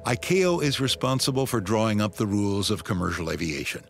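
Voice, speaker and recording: A man's voice: on the low side, very animated, fairly quick, vocal fry. A man reading scripted, dramatic narration as the narrator of a documentary. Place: voice-over booth. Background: background score music.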